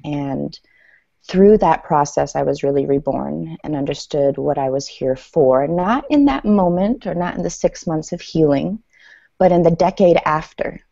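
Low, gravelly woman, native Farsi speaker. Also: measured pace, mellow, dry recording. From Iran, then the United States. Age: 30s. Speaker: interview guest, author. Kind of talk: personal storytelling in an interview. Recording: dull lo-fi audio.

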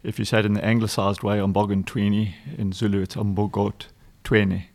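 Low gravelly South African man. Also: little pitch movement, fairly quick. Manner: warm anecdotal, frequent ums and restarts. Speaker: guest, charity executive director. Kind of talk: relaxed storytelling interview.

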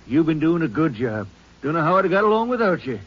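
An actor playing a kindly older small-town hardware store owner, in easygoing, folksy 1950s radio drama acting. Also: male voice, fairly high pitch, big pitch swings, fast. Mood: warm, folksy. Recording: noisy lo-fi old radio broadcast.

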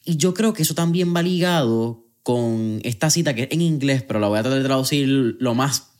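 A man hosting a podcast, talking in a relaxed, conversational and reflective way. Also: mid-range voice, a little fast. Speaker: podcast host. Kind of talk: casual interview chat.

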